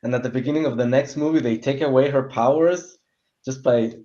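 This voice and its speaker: A man's voice: mid-range voice, brisk, mellow, lo-fi sound. A man chatting casually as a panelist in a film discussion. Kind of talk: casual chat.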